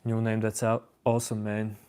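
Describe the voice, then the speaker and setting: Calm low-voiced man, Latvian native speaker. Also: clean tone. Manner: calm, unhurried replies to chat. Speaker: livestream host answering chat. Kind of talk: calm live-stream chat.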